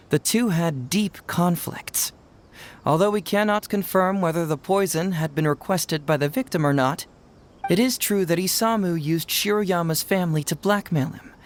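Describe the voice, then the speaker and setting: A teasing young detective. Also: male voice, sits high. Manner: teasing, quizzing, laughs lightly. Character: sharp, playful young male detective. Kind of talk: video game voice acting. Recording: game audio with music under it. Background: faint game music.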